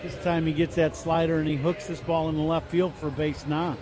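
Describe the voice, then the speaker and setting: A middle-aged man giving relaxed color commentary on baseball radio, chatty and rambling. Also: a little high, a little fast. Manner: chatty, rambling, repeats "I can honestly say". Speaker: color analyst. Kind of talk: relaxed broadcast banter. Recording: noisy radio broadcast.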